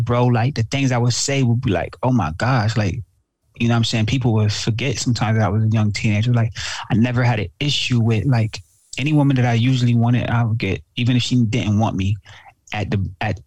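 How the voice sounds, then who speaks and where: A man with a fairly low voice chatting casually and telling stories about his teen years in a slangy, animated way. He keeps repeating phrases and tossing in "bro". The sound is dry and close, with no room echo. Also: breathy edge. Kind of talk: casual chat.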